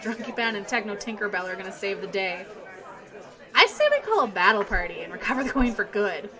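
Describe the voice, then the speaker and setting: A woman's voice: medium pitch, expressive, a little fast, sharp tone. A woman playing a tough, mocking young punk shapeshifter in an audio drama, with a sarcastic, taunting delivery. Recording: crowd chatter behind.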